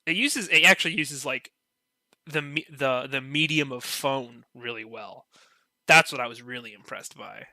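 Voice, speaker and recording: A man you'd hear on a hobbyist podcast, sharp-edged voice in a dry space, meandering eagerly through his point. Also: mid-range voice, moderate pace, dry recording. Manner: rambling, peppered with filler "like". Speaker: podcast panelist. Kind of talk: casual discussion.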